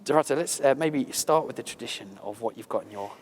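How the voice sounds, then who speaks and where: A man hosting an interview show, chatty and jokey, with quick asides. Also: mid-range voice, a little hiss or hum. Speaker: show host.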